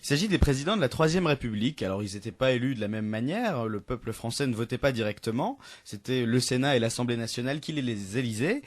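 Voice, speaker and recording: Young man, student radio presenter, mid-pitched voice, chatty storytelling, many fillers and restarts, radio studio. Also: expressive, fast talker, few pauses. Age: young adult. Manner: chatty, many hesitations and restarts, laughs at own jokes. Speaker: student radio presenter telling the anecdote of the week.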